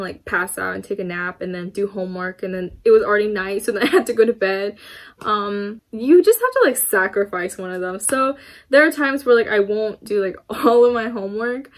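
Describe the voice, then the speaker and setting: An eighteen-year-old woman answering viewer questions in a casual vlog from her room, rambling with lots of fillers and little laughs. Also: medium pitch. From United States. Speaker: YouTuber. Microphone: camera in front of her, laptop beside it.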